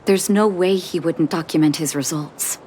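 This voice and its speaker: A woman voicing a gentle, resolute doctor and leader in a video game, soft, measured and thoughtful. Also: lowish voice, a little hiss or hum, natural voice. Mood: determined, concerned.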